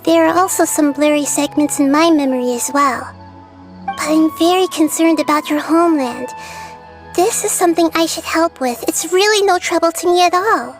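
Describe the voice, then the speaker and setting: A gentle, girlish goddess from a video game, soft and reassuring in manner. Her voice sits very high and light. Faint game music runs underneath. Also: normal speed. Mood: apologetic. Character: small girlish goddess of wisdom, gentle and kind. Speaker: Lord of Dendro.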